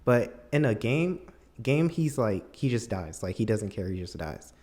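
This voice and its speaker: A man's voice: fairly low pitch, very animated, a little slow, darkish tone. A man giving a casual, opinionated film review as a video commentator, with an emphatic, incredulous, conversational manner.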